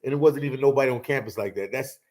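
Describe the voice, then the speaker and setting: A man in his forties hosts a relaxed livestream, reminiscing and telling stories at an easy, unhurried pace. He fills his talk with "man" and "you know," keeping it loose and conversational. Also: medium pitch. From Philadelphia. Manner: laid-back storytelling, lots of "man" and "you know". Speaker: host. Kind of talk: casual livestream chat.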